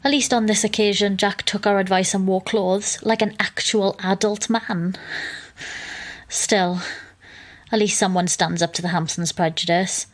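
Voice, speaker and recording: A woman's voice: mid-range voice, steady tone, a little slow, lightly acted. An actress playing an exasperated young woman who narrates an audio drama in a wry, sardonic manner.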